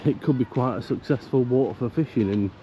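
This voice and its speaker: A man narrating a casual walk around a park fishing lake, croaky from illness, chatty and grumbling about the council. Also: medium pitch, fairly quick, dull audio. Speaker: angler vlogger. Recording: outdoor camera audio with faint background noise.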